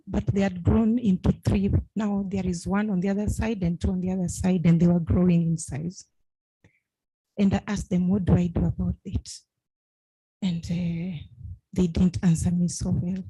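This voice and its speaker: Husky-voiced woman in her fifties. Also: mid-range voice, dark tone, dry recording, poor audio. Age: 50s. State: losing her voice. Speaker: patient asking a question. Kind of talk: personal account at a health forum.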